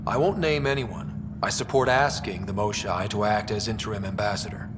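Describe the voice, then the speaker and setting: A man's voice: brisk, close to an everyday voice. An actor playing an easygoing young male space explorer in a video game, talking in a casual, wry way. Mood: firm, earnest. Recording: noisy, echoing hall, ambient rumble.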